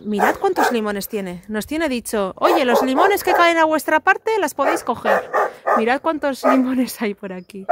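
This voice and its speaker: A woman's voice: a little high, heavy background noise. Chatty woman vlogging outdoors. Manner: chatty, animated, cheering on her son. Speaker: family vlogger. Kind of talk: casual house tour vlog. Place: outdoor garden terrace. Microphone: handheld phone at arm's length.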